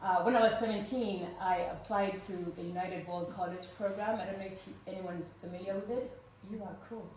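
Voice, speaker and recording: A woman in her forties from Uganda tells her personal story to a live audience at an event, earnest and warm, with frequent "um" fillers. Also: medium pitch, steady tone, brisk, few pauses. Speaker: speaker at a fundraising event. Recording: roomy echo, voice from far off, faint noise.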